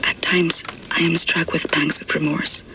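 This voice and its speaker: A woman voicing a grave young diary reader in a video game audio log, soft, restrained and matter-of-fact. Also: low-pitched, level tone, a little slow, whispered, far from the mic. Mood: grim, hushed.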